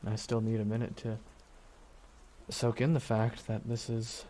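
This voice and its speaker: A man acting a weary, regretful supervillain in an ASMR audio roleplay, speaking slowly and reflectively with pauses. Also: lowish voice, monotone, dark tone, slight background noise, lightly acted. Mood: grave, gentle. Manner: slow, reflective, pausing delivery. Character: weary, regretful male supervillain beside his dying nemesis.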